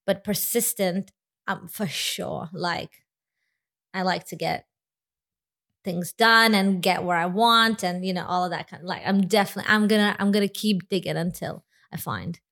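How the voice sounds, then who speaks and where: A woman's voice: fairly low pitch, normal speed, creaky, a bit bright. A young pregnant woman from Lithuania, a podcast guest chatting casually, laughing often and trailing off with fillers. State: heavily pregnant. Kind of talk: casual interview chat.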